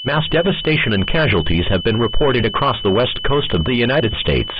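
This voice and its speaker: A synthetic male voice of middling pitch reads a scripted emergency alert. It sounds like a dull, lo-fi radio broadcast, buried under very loud hum and noise. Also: very animated, fairly quick.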